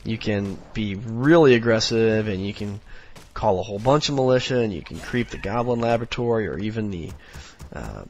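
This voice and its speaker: A hoarse, sniffly man with a raspy voice, rambling through casual strategy commentary with lots of fillers. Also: on the low side, animated, moderate pace, slight background noise. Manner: rambling, many fillers, instructional. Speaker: game commentator.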